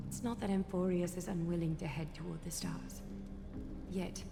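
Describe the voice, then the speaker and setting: A woman voicing a stern, regal female guardian who speaks in a formal, measured way. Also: on the low side. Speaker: guardian judging outsiders. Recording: game audio with reverb and music.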